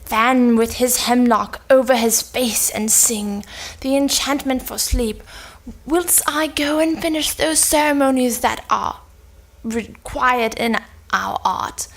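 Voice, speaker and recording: A woman acts out a scheming old sorceress from a stage play, high in voice and slow in delivery. She chants her threats and curses in old verse with an ominous, incantatory manner. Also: exaggerated voice. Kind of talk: cold read of Elizabethan stage play.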